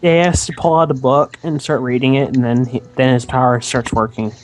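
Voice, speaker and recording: Halting, rambling man commentating on a game. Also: mid-range voice, steady tone, a little hiss or hum, low-quality recording. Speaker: let's play co-commentator. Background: video game music.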